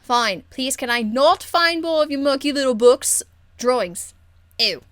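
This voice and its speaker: A woman's voice: high voice, animated, measured pace, fairly bright tone, dry recording, voice pushed up. A woman voicing a cheeky, crude young elf thief in a video game, with a blunt, teasing, informal manner. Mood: disgusted, blunt. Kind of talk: video game character acting.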